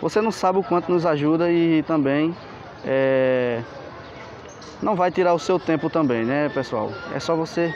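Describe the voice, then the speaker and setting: An enthusiastic man with a Pernambuco Portuguese accent leads a walking tour through an outdoor market, talking in a fairly high voice. He keeps pointing out goods and urging viewers to support the channel. The handheld camera audio is dull, with clear street noise behind him. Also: normal speed, darkish tone. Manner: enthusiastic, asks for likes, points things out. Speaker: travel vlogger.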